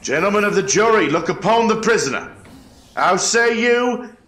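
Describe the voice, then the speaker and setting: Man as a high-pitched, ceremonial colonial court clerk. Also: expressive, moderate pace, fairly bright tone. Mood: formal. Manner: formal, ritual, declamatory. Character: formal eighteenth-century court clerk taking jury verdicts. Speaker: actor in a historical trial reenactment. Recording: echoey room.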